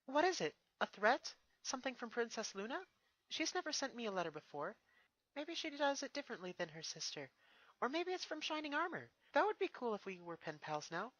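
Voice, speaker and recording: A studious young pony princess, played by a male reader, high-pitched and bright. She is earnest and careful, reasoning things through with curiosity in a cartoon fantasy story. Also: very animated, brisk, dry recording, full character voice, rough recording. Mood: curious.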